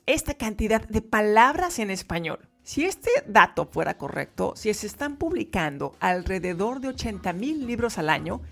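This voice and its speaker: A woman teaching Spanish to learners in a podcast lesson, speaking clearly and emphatically. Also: mid-range voice.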